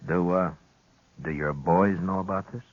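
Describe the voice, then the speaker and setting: Casting: laconic American lawman, very low husky voice, calm questioning, old-radio western, muffled sound. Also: a little slow, no echo. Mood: probing. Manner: laconic, hesitant probing questions. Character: calm, probing frontier US marshal, laconic lawman. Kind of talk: 1950s radio western acting. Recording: dull vintage radio recording.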